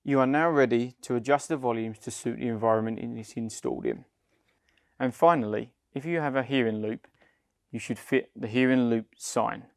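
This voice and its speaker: A man's voice: mid-range voice, moderate pace, creaky, no room sound, studio quality. A man giving a scripted instructional product narration, explaining plainly step by step. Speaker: company head of client development, instructor.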